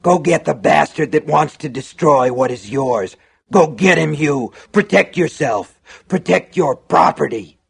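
A man's voice: expressive, takes it slowly. A man voicing a devilish shopkeeper in an audiobook, a soothing tempter who is pleasant, persuasive and can turn harsh. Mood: fierce, urging. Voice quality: low, soothing, turning harsh.

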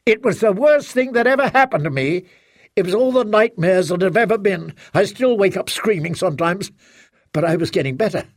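Male reader as enraged young daughter, high and strained, gravelly, dry close read. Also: expressive, a little fast, no echo. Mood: bitter, furious. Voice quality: strained, accusing. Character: furious anguished young adult daughter. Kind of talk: audiobook character acting.

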